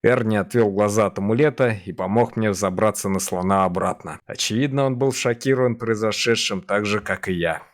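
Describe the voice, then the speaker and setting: A man voicing an English officer who recounts his past from a diary in a measured, grave radio-play monologue. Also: on the low side, lightly acted. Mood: tense recollection. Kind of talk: first-person dramatic monologue, radio play.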